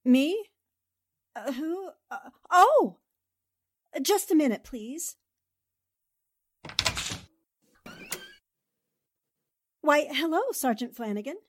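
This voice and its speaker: An actress playing a polite, inquisitive newspaper reporter in the style of a 1940s radio drama. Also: female voice, high-pitched, expressive, slow pace, slight background noise. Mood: flustered. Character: quick-witted woman newspaper reporter.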